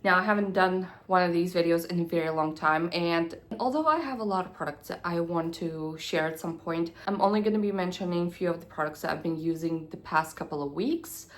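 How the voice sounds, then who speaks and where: A young woman chats to camera about her beauty favorites in a somewhat low voice. She is stuffy and nasal from a cold, and she rattles along casually, sometimes sinking to a near-whisper. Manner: chatty, hurried, drops to near-whispers at times. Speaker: beauty vlogger. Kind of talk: casual product review to camera.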